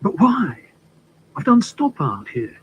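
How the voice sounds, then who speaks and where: A man's voice: high voice, huge pitch swings, slow pace, lo-fi sound, slight background noise, voice pushed up, exaggerated voice. A man voicing a jaded, sardonic theatre director who asks blunt, pointed questions. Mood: indignant.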